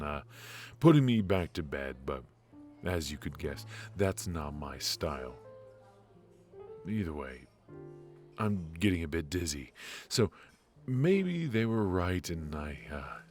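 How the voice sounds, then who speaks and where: A man playing a cocky but affectionate secret agent in an intimate noir audio roleplay, with halting, teasing delivery. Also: low voice, animated, faint music behind. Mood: weary, teasing. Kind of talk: intimate ASMR roleplay voice acting.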